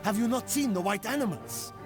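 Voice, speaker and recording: An actor playing a defiant Turkish prisoner in a game cutscene, urgent and accusing. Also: male voice, high-pitched, brisk, over music, natural voice. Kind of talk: video game cutscene acting.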